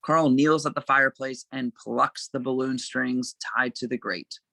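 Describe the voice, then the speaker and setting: Bright, creaky male stage-direction reader. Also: medium pitch, steady tone, unhurried, no echo. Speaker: narrator reading stage directions. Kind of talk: scripted narration at an amateur table read.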